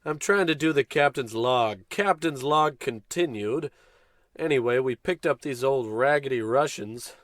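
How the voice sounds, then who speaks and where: A man's voice: medium pitch, measured pace, fairly bright tone, no echo, lightly acted. Man playing a pompous, blustering radio-play space captain. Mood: commanding, blustering. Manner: grandiose, bossy, with dry sarcastic asides. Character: pompous, callous American spaceship captain, blustering and self-important. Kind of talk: comic old-time radio play acting.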